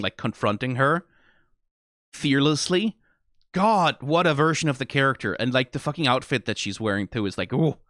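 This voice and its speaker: A man with a medium-pitched voice hosts an unscripted reaction video. He sounds tired and talks informally, swearing for emphasis and often halting or trailing off mid-thought. The sound is dry, with very little room in it. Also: fairly bright tone, dry recording. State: tired after being awake for twenty hours. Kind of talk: off-the-cuff reaction commentary.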